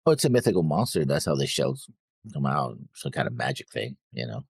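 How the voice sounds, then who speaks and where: Low raspy guy, pitch moves around a lot, halting casual chat, trails off. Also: very animated, no echo, clean studio sound. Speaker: co-host of anime reaction show.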